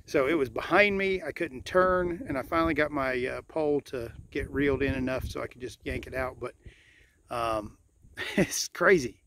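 A man at a middle pitch chats loosely from a kayak, trailing off into fillers and restarting sentences. He is recorded outdoors on a body-worn camera, and clear background noise runs under his voice throughout. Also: moderate pace, fairly bright tone. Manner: chatty, rambling with fillers and restarts. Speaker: vlogger fishing from a kayak. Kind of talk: casual first-person vlog. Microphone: point-of-view action camera on the body.

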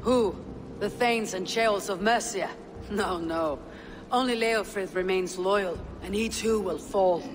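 A woman playing Eivor, calm blunt Viking leader. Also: medium pitch, takes it slowly, long reverb tail, background noise, lightly acted. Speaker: game protagonist.

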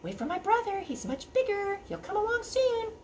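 A woman voicing a small young male goat who talks in a breezy, sly way, pitched well above her own voice. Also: sing-song, moderate pace, a little hiss or hum. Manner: breezy, high and light.

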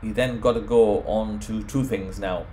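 A man's voice: on the low side, fairly even intonation, normal speed, warm tone, a little hiss or hum. A man presenting an informal home automation tutorial, explaining things step by step. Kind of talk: informal software screen walkthrough.

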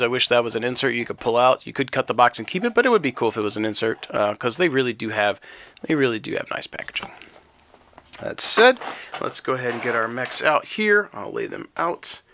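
A man's voice: medium pitch, a little fast, gravelly. A man with a stuffy, crackly voice from a cold, casually chatting through an unboxing of game miniatures and cards. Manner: relaxed, chatty, lots of ums, sound effects like "shwoop". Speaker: miniatures hobby channel host. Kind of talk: unboxing and card review.

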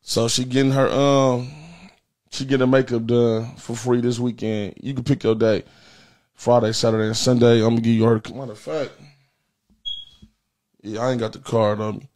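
A man's voice: medium pitch, flat delivery, normal speed, pauses often. An American man in his thirties hosting a comedy livestream with casual, profane, repetitive slangy banter. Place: indoor studio in front of a printed backdrop.

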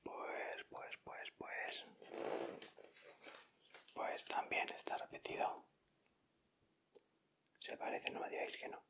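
A man's voice: takes it slowly, long pauses, sharp tone. A young man with a Castilian Spanish accent whispering in ASMR style as a host, addressing his viewers directly and without hurry.

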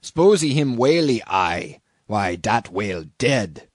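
A man voicing a calm foreign harpooneer in a storybook, speaking terse, matter-of-fact pidgin English. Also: lowish voice, drawn-out words, no echo, studio quality, exaggerated voice. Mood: cool confidence. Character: calm, exotic foreign male harpooneer, broken speech.

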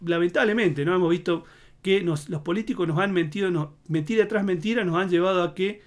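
A man's voice: high voice, fairly quick. A man in his thirties with a Córdoba Argentine accent, giving rambling commentary to camera with many fillers and restarts. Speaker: political commentator. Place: indoor home studio in front of a green screen.

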